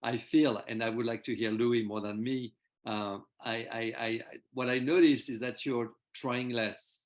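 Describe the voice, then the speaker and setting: A man of ordinary pitch co-hosts a relaxed discussion. He circles his point, stumbling and repeating words before settling on it. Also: unhurried, lo-fi sound. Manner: hesitant, repeats words as he works out thoughts. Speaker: co-host. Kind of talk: casual discussion.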